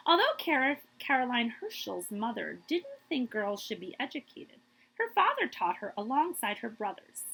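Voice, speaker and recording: A woman's voice: a little high, vocal fry, a bit bright, breathy edge, low-quality recording. A woman reading a picture book aloud like a children's librarian, emphatic and drawing out key words, with brief asides to her listeners.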